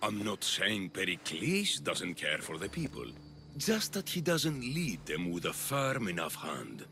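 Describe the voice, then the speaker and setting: Theatrical, swooping Athenian demagogue. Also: male voice, on the low side, huge pitch swings, measured pace, gravelly, pushed and bright, a little hiss or hum, exaggerated voice. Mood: insinuating. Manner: oratorical, insinuating, grandiose. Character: scheming, theatrical populist male politician of ancient Athens. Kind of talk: dramatic video game dialogue.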